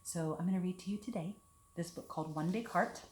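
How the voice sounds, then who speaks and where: A woman leading a children's storytime, talking and reading aloud in simple, deliberate phrases meant for young children. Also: fairly low pitch, a little mellow. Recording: echoey room with background hiss.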